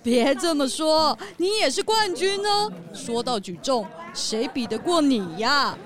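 A woman's voice: high-pitched, expressive, measured pace, fairly bright tone. A woman playing a boastful, easygoing champion animal athlete in a children's audio drama, with a showy delivery. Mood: proud, admiring.

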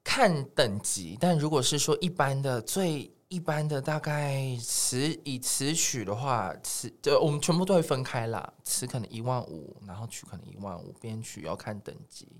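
A man's voice: medium pitch, expressive, normal speed, few pauses. Taiwanese guy music producer on a podcast, normal mid voice, chatty, acts out what people said. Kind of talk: casual podcast interview.

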